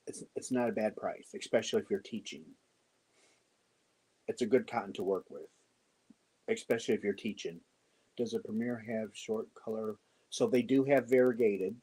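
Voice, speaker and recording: A man hosting a crochet live stream, chatting casually with halting pauses and answering viewer comments. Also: fairly low pitch, dark tone, slightly rough, poor audio. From United States. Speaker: crochet show host.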